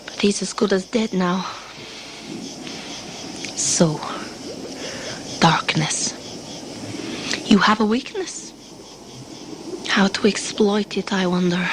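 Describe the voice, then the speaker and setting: A woman acts out a resourceful, scheming female survivor in a sci-fi audio play. She has a medium-pitched voice and talks slowly, as if muttering plans to herself. Noisy ambience surrounds her. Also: natural voice. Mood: calculating. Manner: deliberate, self-addressed muttering, often hushed. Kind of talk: sci-fi audio drama monologue.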